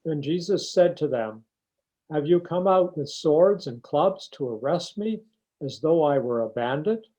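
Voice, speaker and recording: A man's voice: fairly high pitch, animated, a little slow, mellow. A man reading scripture aloud as a church member, on a dull lo-fi recording. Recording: dull, muffled lo-fi sound.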